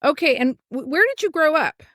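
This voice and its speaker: Female podcast host, fairly high voice, short affirmations, dry close mic. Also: lively intonation, a little fast, no echo. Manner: warm reactions, frequent laughs and short affirmations. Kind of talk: casual interview chat.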